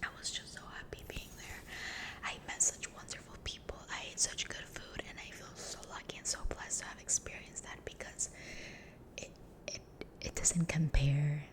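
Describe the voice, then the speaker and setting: A young woman whispering a rambling story close to the mic, softly repeating words to herself. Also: sits low, level tone, normal speed, low-quality recording. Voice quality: unvoiced whisper.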